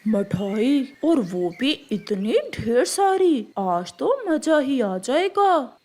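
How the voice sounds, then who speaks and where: A woman voicing a carefree, greedy young bird boy in a children's cartoon, childlike and excitable. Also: fairly high pitch, slow pace, pitched below the performer's own voice, heavily acted. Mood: carefree, eager.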